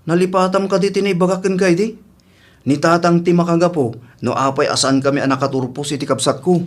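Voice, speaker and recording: A man's voice: on the high side, fairly even intonation, brisk, natural voice. A man playing a grown son in a radio drama, talking in a casual, persistent way. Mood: resentful. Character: reassuring but distrustful grown son.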